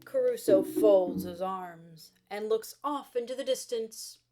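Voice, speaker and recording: A woman storyteller reading a children's story aloud expressively, with theatrical emphasis and dramatic pauses. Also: mid-range voice, a crowd in the background.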